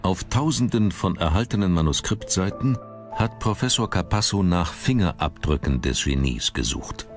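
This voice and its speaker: A man narrating a scripted documentary in a measured, dramatic storytelling manner. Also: low voice, expressive, over music.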